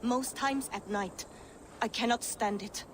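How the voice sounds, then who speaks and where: A woman's voice: on the high side, measured pace, a little hiss or hum, lightly acted. A woman playing an anxious, earthy Viking-age mother in a video game cutscene, fretful and full of feeling. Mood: distraught. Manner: fretful, emotive.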